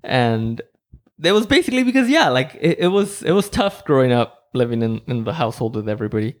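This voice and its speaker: A man from Mexico hosting a podcast, chatting casually and rambling with many "like"s and animated quoted voices. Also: mid-range voice, fast. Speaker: podcast host. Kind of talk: casual chat.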